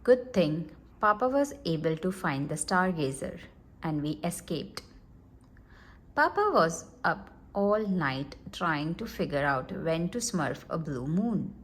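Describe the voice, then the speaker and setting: A woman reading a children's storybook aloud in an expressive, deliberate manner. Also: sits low. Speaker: storybook reader.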